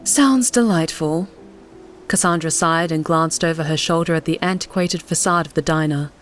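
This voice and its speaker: A woman's voice: on the low side, a little hiss or hum. A woman reading scripted fiction as an audiobook narrator. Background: faint music.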